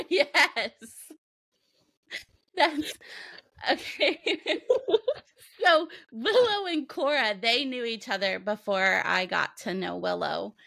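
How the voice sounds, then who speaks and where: A woman co-host with a Kansas American accent, giggly and enthusiastic, laughing often. She speaks at an unhurried pace in a fairly high voice, and the sound is close and dry. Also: expressive, creaky, pushed and bright, no echo. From Kansas, USA. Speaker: podcast co-host. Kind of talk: casual podcast chat.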